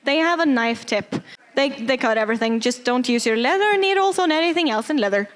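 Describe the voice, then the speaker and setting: High-voiced woman, hesitant non-native speaker. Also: lively intonation, fairly quick. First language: not English. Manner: hesitant, many fillers, searching for words. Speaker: cosplay workshop presenter. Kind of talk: casual workshop talk.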